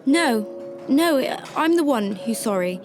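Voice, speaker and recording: A woman's voice: on the high side, expressive, slow. A woman acting a troubled, secretive shelter worker who narrates her story in a radio drama, emotive and hesitant in dialogue. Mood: flustered. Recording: roomy sound with music behind.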